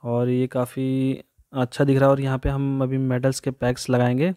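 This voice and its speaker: A man's voice: medium pitch, monotone, normal speed, noisy background. Chatty Indian man vlogging outdoors. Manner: chatty, repeats "so doston", shows each step. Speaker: camping vlogger. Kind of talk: casual how-to vlog. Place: open hillside meadow outdoors.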